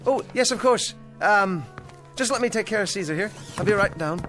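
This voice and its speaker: A man's voice: high-pitched, animated, moderate pace, loud music behind the voice. An actor playing a hesitant, eager-to-please male ventriloquist down on his luck, stammering and placating in a radio drama. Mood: nervous politeness.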